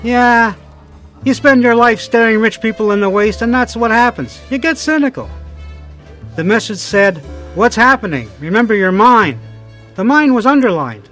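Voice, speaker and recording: High-voiced, cynical wisecracking bellhop. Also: male voice, expressive, normal speed, fairly bright tone, soft background music. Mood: cynical, wry. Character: wisecracking, cynical, bribable male hotel bellhop. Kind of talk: detective radio drama acting.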